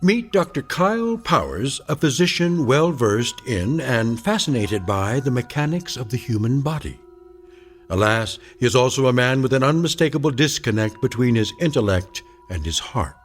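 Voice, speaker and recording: An actor playing the wry, portentous narrator of an uncanny radio drama, with a theatrical delivery. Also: male voice, medium pitch, lively intonation, moderate pace, soft background music, natural voice. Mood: wry, ominous. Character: wry, ominous male narrator of uncanny tales.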